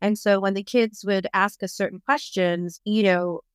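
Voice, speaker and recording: A middle-aged woman from Bangladesh, a parenting coach, speaking as a podcast guest in a reflective, measured way. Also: mid-range voice, steady tone, clean tone, dry recording, clean studio sound. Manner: reflective, measured, thoughtful explaining. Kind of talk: podcast interview.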